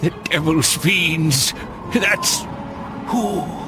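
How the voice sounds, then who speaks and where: An actor playing a frail, despairing old peasant man in a game, anguished and halting. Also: on the high side, over loud music. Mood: anguished, bitter. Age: older.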